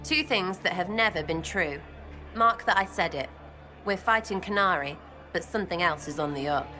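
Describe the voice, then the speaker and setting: A British actress playing a cheeky, blunt young elf rogue in a fantasy game, talking in clipped, irreverent slang. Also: female voice, fairly low pitch, moderate pace, bright, forceful tone, faint music behind. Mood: cheeky, suspicious. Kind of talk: video game dialogue acting.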